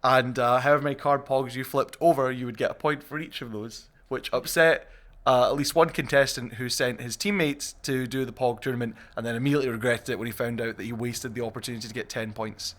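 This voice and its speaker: A young man hosts a casual group podcast. His delivery is quick and his tone is bright and forward, at a middling pitch. He talks like someone chatting with colleagues. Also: steady tone. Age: young adult. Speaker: podcast host, shop staff.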